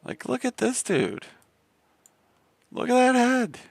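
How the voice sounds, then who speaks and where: A man from the Southern US with a deep voice and a Southern accent, chatting casually on a game live stream. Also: very animated, slow, creaky, no echo. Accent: Southern American. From Southern United States. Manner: laid-back, rambling with many fillers.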